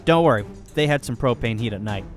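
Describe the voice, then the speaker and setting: A man's voice: medium pitch, expressive, fast talker, over loud music. A man narrating and giving a tour as a radio contest operator in his own video. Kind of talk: scripted narration and casual on-site tour.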